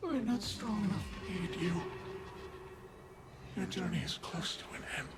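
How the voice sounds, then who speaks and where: A woman's voice: lowish voice, expressive, normal speed, creaky, loud music behind the voice. A woman voicing a sorrowful, ashamed alien outcast from a hive mind in a game, solemn and mournful.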